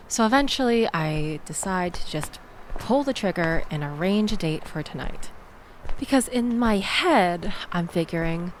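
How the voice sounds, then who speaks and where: Faint background noise sits under an actress as a young woman in an intimate audio drama. She speaks slowly at a medium pitch, with wry, wordy exasperation and theatrical emphasis. She sounds sardonic and conversational, as if venting to one listener. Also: close to an everyday voice. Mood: resigned, wry.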